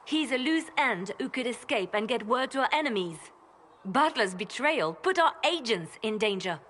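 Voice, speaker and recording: A woman's voice: a little high, lively intonation, normal speed, fairly bright tone, slight background noise. An actress playing a ruthless, pragmatic woman spymaster in a fantasy video game, cold and controlled in manner. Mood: stern, defensive.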